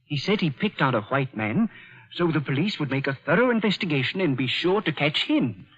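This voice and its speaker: A chatty, genial visitor. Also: male voice, a little high, very animated, brisk, a bit bright, slightly rough, heavily acted. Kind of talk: 1940s radio acting. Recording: dull old radio broadcast.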